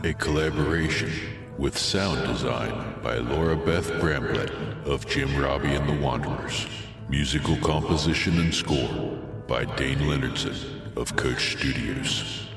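A man delivers a dramatic scripted announcement in an extremely deep, slow voice. It is drenched in echo, with music clearly playing behind. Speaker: announcer of audio drama credits. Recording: heavily echoing voice over music.

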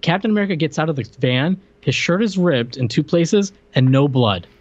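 A man's voice: a little high, expressive, normal speed, no echo, dull audio. A man co-hosting a podcast, chatting casually about a film and retelling scenes in slangy, incredulous fashion. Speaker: podcast co-host. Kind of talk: casual film-review chat.

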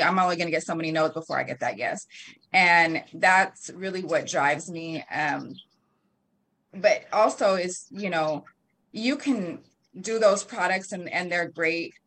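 A woman's voice: lowish voice, moderate pace, fairly bright tone. A woman in her thirties talking casually as an interview guest, chatty with frequent laughs and fillers. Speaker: guest, marketing and PR company founder.

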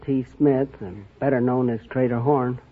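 A man's voice: medium pitch, steady tone, moderate pace. A middle-aged or older man from Virginia answering as a quiz show contestant with folksy good humour. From Virginia, United States. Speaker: contestant, explorer. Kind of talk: live quiz show interview. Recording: muffled noisy old radio recording. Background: studio audience.

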